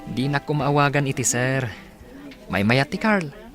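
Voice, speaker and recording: A man's voice: medium pitch, animated, measured pace, fairly bright tone, soft background music. A man acting a generous, playful suitor who flirts and teases in a radio comedy drama. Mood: teasing.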